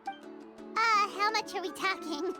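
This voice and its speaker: A woman voicing a tiny, childlike floating fairy sidekick in a video game, squeaky and full of exclamation. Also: slow pace, over music. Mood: hesitant. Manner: exclamatory, incredulous.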